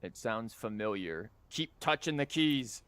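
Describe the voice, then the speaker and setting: A man's voice: on the low side, lively intonation, measured pace, a bit bright, smooth, no echo. A man livestreaming a game, chatting casually with joking, rambling asides and reading dialogue aloud. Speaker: game streamer. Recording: music behind the voice. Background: game music.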